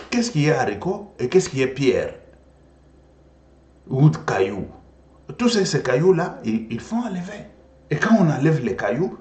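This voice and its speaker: A man with a Congolese French accent and Swahili as his first language talks through politics in a lively, swooping voice. He tells stories, circles back to repeat his points and hops between languages. The recording sounds roomy and echoey. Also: mid-range voice. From Democratic Republic of the Congo. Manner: animated storyteller, repeats phrases, switches languages. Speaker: political commentator. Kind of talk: impassioned political monologue. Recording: echoey room.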